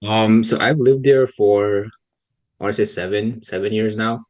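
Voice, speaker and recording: A young man from Pakistan, a policy researcher, answering questions in a casual podcast interview in a soft-spoken, hesitant way with many pauses. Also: fairly low pitch, steady tone.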